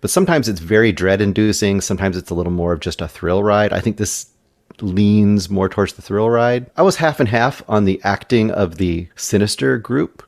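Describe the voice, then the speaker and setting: Low-voiced man casually reviewing a film. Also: normal speed, vocal fry, no echo. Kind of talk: casual movie review chat.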